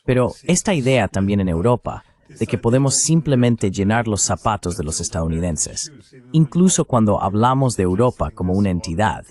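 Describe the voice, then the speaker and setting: A man's AI-generated dubbed voice as an interview host, giving analytical, measured commentary. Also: lowish voice, expressive, darkish tone.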